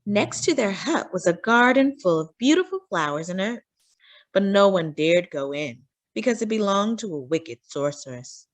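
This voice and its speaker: A woman's voice: medium pitch, very animated, moderate pace. A woman reading a children's storybook aloud as narrator, phrasing for young listeners with dramatic emphasis.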